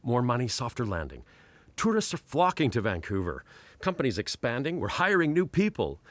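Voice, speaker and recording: A man's voice: mid-range voice, huge pitch swings, moderate pace, fairly bright tone, no echo. A man playing an affectionate, reassuring and slightly absent-minded husband in a naturalistic radio play. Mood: earnest. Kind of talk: naturalistic radio drama acting.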